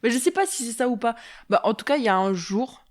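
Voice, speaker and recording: A woman's voice: a little high, big pitch swings, quick pace, dry recording, studio quality. Chatty French woman podcast host. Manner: rambling, chatty, laughs often. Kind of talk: casual chat.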